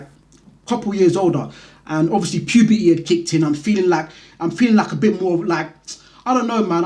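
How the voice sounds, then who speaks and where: London man, high-pitched voice, casual storyteller, slangy, conversational, animated retelling. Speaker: vlog host.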